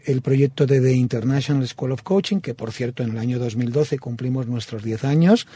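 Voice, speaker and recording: A man's voice: medium pitch, moderate pace, dark tone, breathy edge, no echo. A man with a Castilian Spanish accent, a radio interview guest in a studio booth, speaking fluently and evenly. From Spain, Madrid. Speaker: interview guest, coaching school president.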